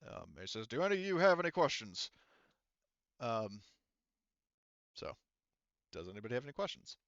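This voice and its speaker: A man's voice: mid-range voice, very animated, normal speed, fairly bright tone, no echo, dull audio. A man casually narrating a tabletop game as game master, explaining things in a rambling, informal way.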